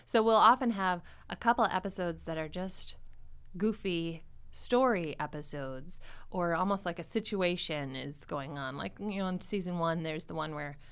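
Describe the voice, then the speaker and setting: A woman hosting a podcast in a casual, chatty way, playful and quick to laugh. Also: fairly low pitch, a bit bright, clear, resonant tone. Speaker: podcast host and series creator.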